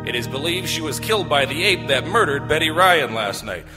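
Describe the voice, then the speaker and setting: An actor playing a grave news announcer reading a bulletin in 1940s radio style. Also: male voice, a little high, lively intonation, fairly quick, natural voice. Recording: live stage hall reverb, loud music.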